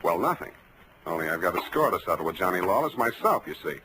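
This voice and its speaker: An actor playing an unflappable, wisecracking private detective, terse and dry in a 1940s radio style. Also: male voice, sits low, big pitch swings, quick pace, sharp tone, slight background noise, full character voice, poor audio. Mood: wry.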